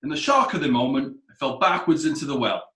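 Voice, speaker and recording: A nervous, deferential defendant, played by a man, answering questions with hesitant politeness. His voice is bright and lively, with a roomy echo. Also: medium pitch, fast talker, natural voice. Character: nervous evasive male defendant, cider maker. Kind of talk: amateur radio drama. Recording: echoey room.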